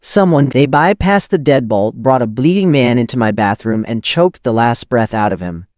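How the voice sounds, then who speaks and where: A man playing a cynical, rattled forger who narrates a noir thriller audio drama in dramatic, clipped phrases with weighty pauses. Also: mid-range voice, lively intonation, darkish tone, no echo, clean studio sound, natural voice. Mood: calculating. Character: hardboiled, rattled forger of false evidence, cynical first-person narrator.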